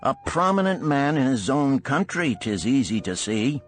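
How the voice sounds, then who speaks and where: A man's voice: medium pitch, sing-song, moderate pace, soft background music, pitched above the performer's own voice, close to an everyday voice. A man voicing a wistful, poor musician of ancient Babylon in a storybook, speaking lyrically in archaic phrases. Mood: admiring.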